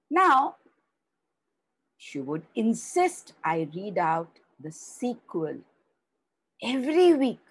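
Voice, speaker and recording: A woman narrating a children's story slowly, explaining as she goes and leaning on key words. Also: lowish voice, husky, poor audio. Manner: slow, explaining, with rising emphasis on key words.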